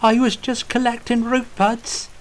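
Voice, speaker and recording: A man's voice: very high voice, level tone, a little slow, voice pushed up. A man voicing a frightened woman thief in an audiobook, stammering and pleading.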